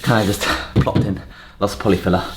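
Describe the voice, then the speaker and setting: A man's voice: on the low side, fairly even intonation, quick pace. A man casually narrating hands-on home renovation, thinking aloud as he works. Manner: unscripted, thinking aloud while working. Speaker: host of a home renovation vlog. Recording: noisy home recording with clicks.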